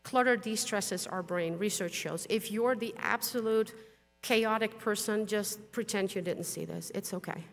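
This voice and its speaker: A middle-aged woman, Dutch-native, speaks bluntly from a conference stage. Her mid-pitched voice carries a long reverb from the large hall around her. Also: measured pace. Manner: frank, blunt, with mild swearing. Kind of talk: conference talk with slides.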